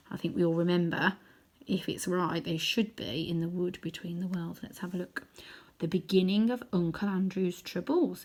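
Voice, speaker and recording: A woman reading a children's novel aloud in chapters, adding gentle asides to her listeners. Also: fairly low pitch. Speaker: storybook reader.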